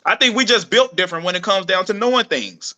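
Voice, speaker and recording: A male host with a bright, edgy voice pitched a little high. He talks bluntly and dismissively in casual slang. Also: quick pace, muffled recording. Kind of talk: casual live-stream chat.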